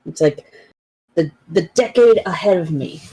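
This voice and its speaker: A woman in her thirties chatting casually as a guest on a live stream, blunt and animated, often cutting in on the others. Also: on the low side, measured pace, husky, rough recording. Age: 30s. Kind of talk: casual chat.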